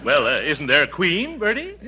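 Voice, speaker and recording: An actor playing a pompous but kindly middle-aged uncle in 1940s radio comedy, with hesitant hems and hearty chuckles. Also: male voice, fairly high pitch, huge pitch swings, normal speed, sharp tone, some chatter behind, heavily acted. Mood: puzzled, genial. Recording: noisy, crackly old radio broadcast.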